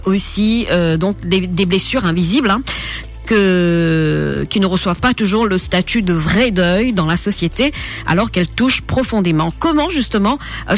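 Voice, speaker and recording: A woman hosting a radio health show, asking her guest questions one after another. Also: medium pitch. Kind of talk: radio interview. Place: radio studio.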